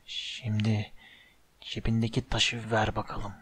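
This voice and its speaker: A man voicing a sinister, bodiless dark wizard in an audiobook, with a slow, menacing, hissing hush. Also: fairly low pitch, completely flat delivery, pitched below the performer's own voice, heavily acted. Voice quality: hushed, breathy hiss.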